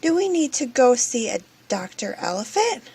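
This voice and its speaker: An excitable little girl pig from a children's storybook, acted out by a woman. The voice has a slightly husky edge and is eager and curious, full of exclamations. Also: on the high side, normal speed, pitched above the performer's own voice, exaggerated voice. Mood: startled.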